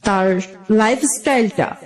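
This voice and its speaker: A woman's voice: medium pitch, takes it slowly. A woman with a Bangladeshi accent telling her own life story as an interview guest, conversational, wistful and admiring.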